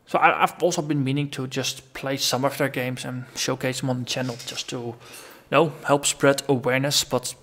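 Gravelly man rambling through a gaming vlog. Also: mid-range voice, steady tone, moderate pace, hardly any pauses, fairly bright tone. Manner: rambling, thinking aloud. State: voice tiring after a long recording. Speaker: gaming channel host. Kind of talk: casual solo vlog monologue.

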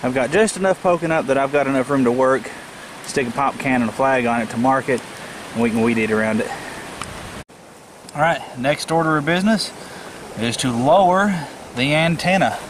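A man's voice: medium pitch, normal speed, long pauses. A man giving a casual, unscripted hands-on tutorial, thinking aloud as he works outdoors. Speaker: DIY vlogger. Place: outdoors in a rural yard. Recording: noisy outdoor camcorder audio. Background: clear outdoor ambient noise.

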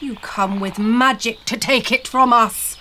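A woman voicing a huge, hostile male troll ruler in a storybook, with a booming, growling delivery. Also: fairly even intonation, a little slow, pushed and bright, slight background noise. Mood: suspicious anger. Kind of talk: storybook character voice.

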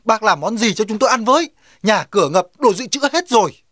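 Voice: medium pitch, animated, fairly quick, a bit bright, no echo, clean studio sound. An actor voicing a practical, talkative animal neighbour in a cartoon dub, persuading and organising the others. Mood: worried, grumbling. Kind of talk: Vietnamese children's cartoon dubbing.

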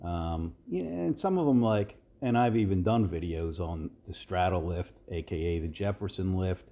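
Man, 60s, low and mellow, loose rambling fitness host voice-over. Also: normal speed. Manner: rambling, relaxed, many fillers and restarts. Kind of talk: casual voice-over commentary.

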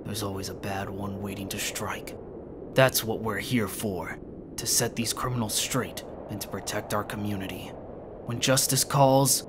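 A woman playing an earnest, excitable young masked superhero in a comic dub, with a theatrical delivery. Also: rumbling low, noisy background. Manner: theatrical, swinging from solemn speeches to eager exclamations.

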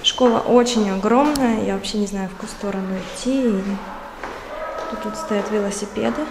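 A woman from Kazakhstan chats to her viewers as she walks through a building, explaining things with small laughs and fillers. Her voice sits at an ordinary middle pitch. The handheld camera catches clear background noise under her speech. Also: moderate pace. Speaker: vlogger. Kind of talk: casual walking vlog narration. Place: inside a large school building, rooms and corridors, partly outdoors. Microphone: handheld camera, speaker off screen.

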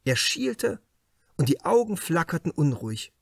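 A male audiobook narrator reads crime fiction dramatically. His voice sits slightly high for a man, and the recording is dry with no room sound. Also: sing-song, a little slow, slightly rough, dry recording.

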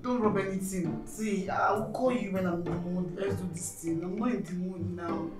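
A woman's voice: fairly low pitch, steady tone, normal speed, lightly acted. A woman with a Nigerian accent acting a reluctant, exasperated partner in a comedy skit, protesting in an emphatic, dramatic way. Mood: weary refusal. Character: reluctant, exasperated wife or girlfriend. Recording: echoey room, far from mic, music behind.

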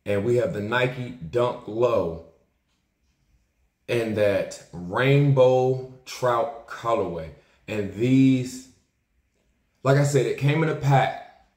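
A man's voice: mid-range voice, darkish tone. An American man in his thirties chatting casually through an unboxing vlog, laid-back and slangy, repeating his phrases. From Maryland, United States. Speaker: YouTube sneaker unboxer. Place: small indoor room with plain wall. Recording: roomy, echoing indoor sound.

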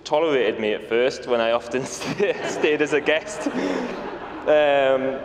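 A man giving a wedding toast as a friend of the couple, speaking warmly with small hesitations. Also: medium pitch, expressive, slow pace, background noise. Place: wedding reception venue. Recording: echoey hall with music over it.

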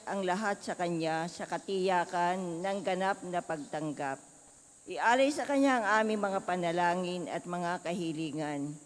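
A person leading liturgical prayers in a steady, solemn way. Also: mid-range voice, big-room sound. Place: church.

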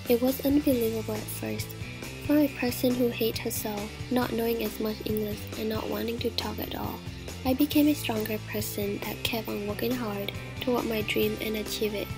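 A young woman from Vietnam with a Vietnamese accent, reading her own life story in a soft, reflective, breathy way. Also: fairly high pitch, steady tone, normal speed. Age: young adult. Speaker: digital story narrator. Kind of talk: scripted personal narration. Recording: voice-over with clear music behind.

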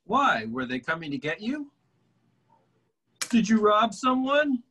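Goofy prankster regular, high and silly, read by a man on a call. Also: big pitch swings, slow pace, a bit bright, a little hiss or hum, full character voice. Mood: teasing. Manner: silly, sing-song teasing. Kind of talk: amateur table read over video call.

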